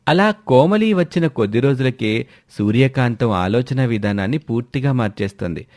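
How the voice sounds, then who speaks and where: A man's voice: medium pitch, lively intonation, moderate pace, a little mellow. A man narrating a scripted moral story as a storyteller.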